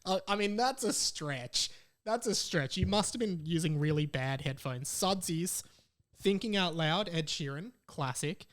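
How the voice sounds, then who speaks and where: A man's voice: fairly high pitch, expressive, a little slow, fairly bright tone. A man hosting a solo podcast in a casual, jokey way, repeating phrases for emphasis. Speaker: podcast host.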